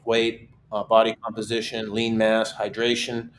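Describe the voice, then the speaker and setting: A man hosting a casual webinar chat, talking in a relaxed, steady way with frequent restarts. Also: lowish voice, normal speed, slight background noise. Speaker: host.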